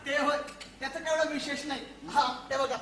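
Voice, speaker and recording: A woman's voice: sits high, steady tone, brisk, a bit bright, a little hiss or hum. A woman playing a naive, earnest young soldier son in a comic stage play, explaining things in a childlike, eager way. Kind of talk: theatrical one-act stage comedy acting. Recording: echoey stage recording with faint music.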